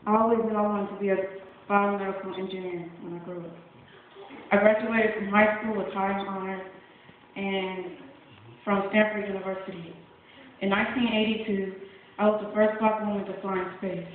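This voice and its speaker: Woman, monotone school-play recitation, echoey hall. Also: mid-range voice, moderate pace, slight background noise. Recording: echoey hall, phone-quality audio.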